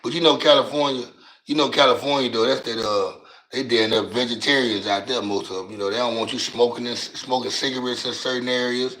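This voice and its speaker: Raspy middle-aged Louisiana man, slangy. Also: mid-range voice, steady tone, fairly quick, a bit bright, roomy sound, poor audio. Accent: Louisiana Southern US. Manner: slangy, rambling, stumbles and repeats words. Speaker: livestream host. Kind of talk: casual livestream chat.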